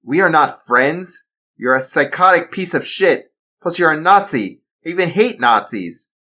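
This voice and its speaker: A man's voice: on the high side, sing-song, moderate pace, fairly bright tone, pitched above the performer's own voice. A man voicing a blunt protective father. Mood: defiant. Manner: reassuring to his son, defiant to enemies. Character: protective retired assassin father, steady and blunt. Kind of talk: amateur storytelling.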